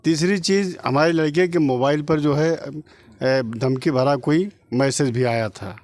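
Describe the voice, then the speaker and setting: A middle-aged man from Uttar Pradesh lays out his case in an interview. His voice is on the high side and recorded dry. He is insistent, numbering his points and repeating his conclusions. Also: measured pace, darkish tone, no room sound. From Uttar Pradesh, India. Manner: insistent, argues point by point, repetitive. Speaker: relative of the victim being interviewed. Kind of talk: interview testimony.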